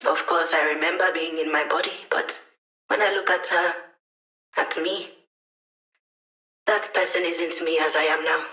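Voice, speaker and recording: A woman's voice: deep voice, fairly even intonation, normal speed, pauses often, sharp tone. A woman voicing a wry, upbeat young hacker who lives as a digital mind, in a casual, teasing video game performance. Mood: reflective. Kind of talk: video game character voice lines.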